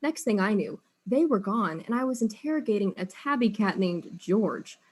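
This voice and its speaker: An actress playing a wry, weary detective in an audio drama, speaking casually. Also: female voice, medium pitch, animated, normal speed, darkish tone, natural voice. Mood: exasperated.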